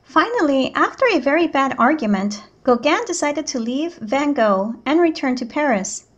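High-pitched female teacher, narrating a picture book expressively for children. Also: very animated, normal speed, highs cut off. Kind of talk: children's read-aloud narration.

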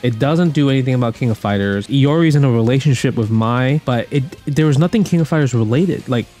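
Male commentator, casual and opinionated, slangy, repeats points for emphasis, mid-pitched, light music bed. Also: brisk, dark tone. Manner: slangy, emphatic, repetitive phrasing. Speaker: guest commentator ranking movies. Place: indoor room at home.

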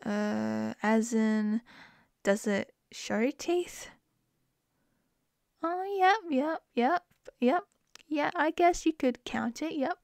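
A woman's voice: on the high side, drawn-out words, vocal fry, pauses often, a little mellow, no echo. A woman with an Australian accent in a close-mic ASMR roleplay as a wife, playful and giggly.

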